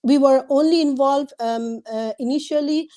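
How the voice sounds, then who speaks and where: A woman's voice: high voice, normal speed, mellow. A woman in her forties, a doctor and activist who first spoke Burmese, answering earnestly on a video call from her home through earphones, with many ums and restarts. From Myanmar (Rohingya), raised abroad. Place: room at home, indoors. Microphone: wired earphone headset on a video call.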